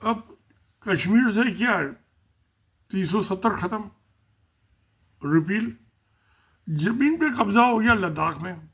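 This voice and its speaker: A man's voice: high-pitched, animated, slow pace, long pauses, a bit bright, slightly rough. A man giving casual spoken political commentary, musing and repeating himself, asking rhetorical questions.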